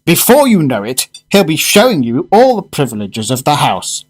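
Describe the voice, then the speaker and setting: A man's voice: a little high, huge pitch swings, moderate pace, slight background noise. An actor playing a genial, teasing man who is a regular diner, urbane and chummy, in a radio drama.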